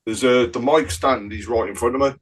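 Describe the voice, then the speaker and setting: A man's voice: a little fast, slightly rough. A man chatting casually as a podcast panellist. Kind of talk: casual chat. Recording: distant, rumbling microphone.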